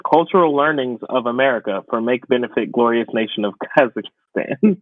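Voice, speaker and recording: A man's voice: mid-range voice, lively intonation, normal speed, no room sound. A man co-hosting a podcast in casual, conversational chat. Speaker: podcast co-host. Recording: dry, narrow phone-like line.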